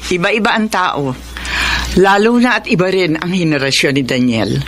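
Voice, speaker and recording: An actress playing a middle-aged mother and peacemaker in a Filipino radio drama, gentle but firm. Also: on the low side, expressive, moderate pace. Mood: gently firm. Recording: noisy radio drama with ambience.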